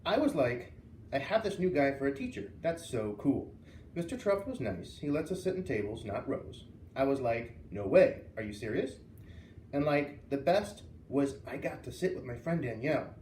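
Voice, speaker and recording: A man acts out a catty preteen girl from a children's storybook, full of sassy slang. The pitch jumps around with gossipy, bossy energy. The sound is roomy and a little far from the mic. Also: big pitch swings, normal speed, a little mellow, close to an everyday voice. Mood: chatty, gushing. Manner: valley-girl slang, gum-snapping, sassy. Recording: roomy, distant mic, faint noise.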